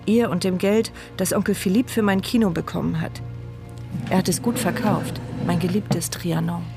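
A woman's voice: fairly low pitch, steady tone, moderate pace, vocal fry. An actress playing a bitter, sardonic paralysed woman who speaks her inner thoughts as the narrator of a radio drama. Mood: grateful, reflective. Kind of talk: radio drama first-person inner monologue. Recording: studio radio play with music underneath.

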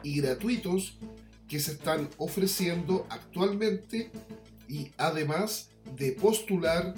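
A man's voice: fairly high pitch, takes it slowly. A man reading scripted news headlines as a presenter. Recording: echoey voice over music bed.

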